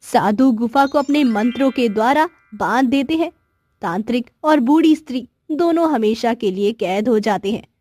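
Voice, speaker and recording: A woman's voice: fairly high pitch, animated, moderate pace, darkish tone, faint music behind. A woman narrating a scripted cartoon story as storyteller.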